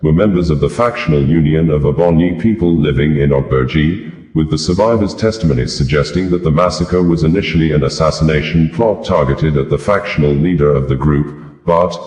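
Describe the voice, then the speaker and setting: A man with a deep voice reads the news in a roomy, echoing space. His delivery is formal and scripted, carried along in long run-on sentences. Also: brisk, a little mellow. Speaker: news reader.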